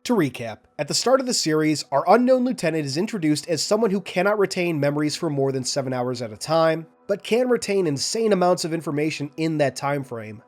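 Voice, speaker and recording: A man hosting a lore video, narrating and analyzing in a measured, explanatory way. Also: on the high side, lively intonation.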